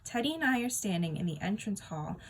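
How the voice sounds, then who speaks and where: A woman narrating a children's book aloud in an expressive storytelling style. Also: medium pitch, a little fast, a little hiss or hum. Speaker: storybook reader.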